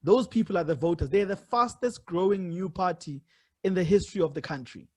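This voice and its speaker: A South African man hosting a podcast, talking conversationally and explaining his points in a measured way. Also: sits high. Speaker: podcast host. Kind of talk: casual interview conversation.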